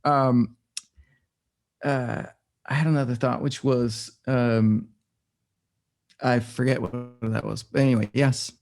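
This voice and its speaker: A man talks as an interview guest, pitched in an ordinary middle range. He thinks out loud as he goes, restarting sentences and hunting for the right word before finishing his point. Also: fairly even intonation, slow, vocal fry, pauses often. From Denmark. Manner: thinks aloud, with frequent restarts and searching for words. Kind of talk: casual conversational interview.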